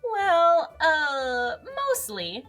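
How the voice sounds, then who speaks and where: A little old elf from a children's storybook, voiced by a woman, anxious and hedging as Santa's helper. The voice is on the high side and very varied in pitch, over a music bed. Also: very animated, extremely slow, a bit bright, full character voice. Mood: hesitant. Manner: hesitant, hedging.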